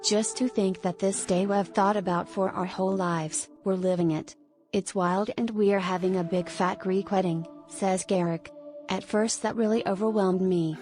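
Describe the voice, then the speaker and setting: A woman narrates a scripted celebrity news piece at a middling pitch. She reads it aloud in a measured way, with music playing behind her voice. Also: dark tone.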